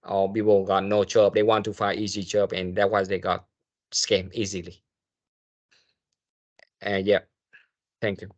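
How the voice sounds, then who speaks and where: Slow, low-voiced man. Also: flat delivery, a little mellow. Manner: halting, hesitant phrasing. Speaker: cybersecurity investigator, guest speaker. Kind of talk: answering questions in an online meeting.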